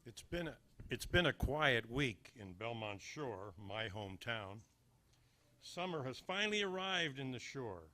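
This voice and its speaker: A man's voice: medium pitch, big pitch swings, unhurried, sharp tone. A man delivering a scripted humorous small-town monologue as a storyteller, with folksy, dry understatement. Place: live before an audience.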